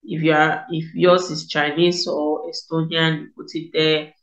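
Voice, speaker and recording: A woman's voice: low voice, level tone, unhurried. A young woman from Cameroon walking viewers through an online application form, explaining each step with repeats and fillers. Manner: explanatory, repeats key points, many fillers. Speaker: education consultant, tutorial host. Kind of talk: screen-recorded tutorial walkthrough. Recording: echoey room.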